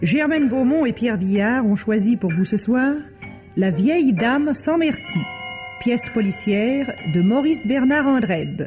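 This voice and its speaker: A man announcing the credits of a radio drama in a measured, formal way. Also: very high-pitched, lively intonation, darkish tone. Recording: dull old radio archive with music.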